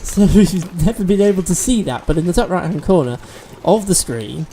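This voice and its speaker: A man's voice: sits high, animated, moderate pace, mellow, slight background noise. Sniffly man narrating his gameplay. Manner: chatty, explains game mechanics as he plays. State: sniffly from allergies. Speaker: gaming video host. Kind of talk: let's-play commentary.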